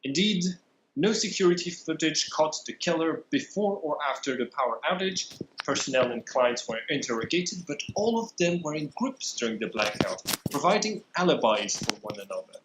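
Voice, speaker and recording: A man running a tabletop game as game master, narrating the scene in a measured, deliberate way with many pauses. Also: fairly high pitch, expressive, fairly bright tone, a little hiss or hum. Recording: echoey room.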